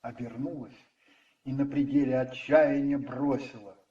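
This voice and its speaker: A man narrating a radio play dramatically from a script, in measured phrases with pauses. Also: mid-range voice, fairly even intonation, mellow, muffled recording. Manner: measured, pausing between phrases. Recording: roomy echo, distant, faint music behind.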